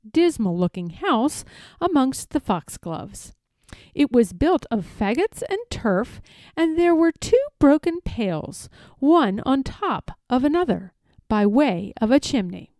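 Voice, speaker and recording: A woman narrating a children's story from a script, expressive and careful in her phrasing. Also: fairly high pitch, takes it slowly, no room sound. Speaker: storybook reader.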